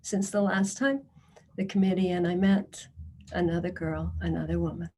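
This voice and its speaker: A woman addresses a committee in a measured, earnest manner, with a warm, mellow tone. Her voice sits a little low. She reads testimonies aloud in the first person. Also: steady tone, a little hiss or hum. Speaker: social services presenter.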